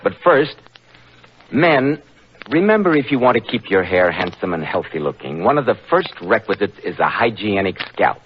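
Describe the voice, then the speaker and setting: An American man sells like a polished 1940s radio announcer, his medium-pitched voice rising and falling emphatically. The old recording is dull, with faint noise behind him. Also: sing-song, normal speed.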